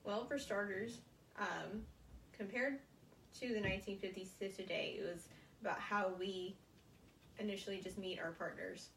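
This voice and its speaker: A young American woman giving a prepared informative speech as a student, speaking haltingly in short phrases, recorded at a distance in a room. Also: mid-range voice, creaky, fairly bright tone. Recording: roomy, distant mic, faint noise.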